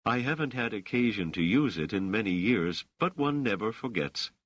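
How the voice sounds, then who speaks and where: A man acts out a self-assured woman ruler of middle age in a novel reading. The pitch is lifted well above a usual male voice and moves expressively. The manner is gracious, poised and used to command. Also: expressive, fairly bright tone, no echo. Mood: wistful. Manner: poised, formal, measured phrasing.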